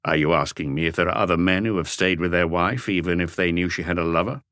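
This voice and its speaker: A man voicing a calm, patient police chief in an audiobook, asking terse, measured, probing questions. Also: deep voice, no echo, studio quality, pitched below the performer's own voice. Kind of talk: audiobook character dialogue.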